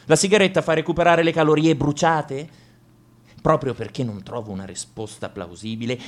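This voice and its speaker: An opinionated young man on a soapbox, radio play monologue, kinda high voice that jumps all over, ranting. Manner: emphatic ranting, rhetorical questions, mock-reasonable. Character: opinionated, self-righteous young male anti-smoking crusader. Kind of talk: theatrical scripted monologue.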